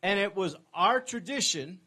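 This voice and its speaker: An older man from England, preaching in short, measured phrases and waiting for each to be translated. His voice sits high and his delivery is slow and deliberate. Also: animated, bright, forceful tone, husky. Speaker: guest preacher. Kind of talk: sermon in English with interpreter.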